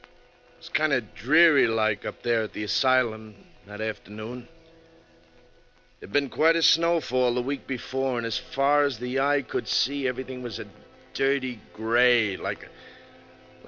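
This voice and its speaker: An actor playing a wounded, sardonic American bus driver recounting a grim story in slangy 1940s radio drama style. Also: male voice, mid-range voice, normal speed, pushed and bright, lightly acted. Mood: grim storytelling. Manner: folksy, hard-boiled, slangy. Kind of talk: 1940s radio drama acting, slangy first-person narration. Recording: dull vintage radio recording, music behind.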